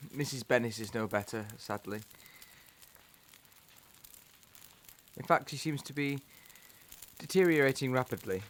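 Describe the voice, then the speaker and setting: An actor playing a mild seventeenth-century village priest in an audio drama, speaking formally and haltingly. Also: male voice, mid-range voice, natural voice. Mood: sorrowful.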